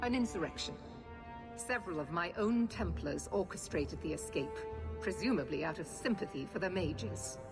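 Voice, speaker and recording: An actress playing a stern, zealous knight commander in a video game, formal and imperious. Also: female voice, fairly low pitch, animated, moderate pace, lightly acted. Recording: long hall reverb, music behind.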